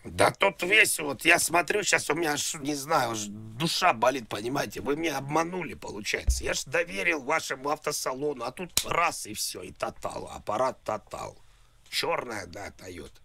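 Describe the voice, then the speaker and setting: A man on a prank call, talking in a halting, repetitive way like a naive, confused customer. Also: mid-range voice, expressive, creaky, bright, forceful tone. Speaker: prank caller. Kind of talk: prank phone call, rambling improvised talk.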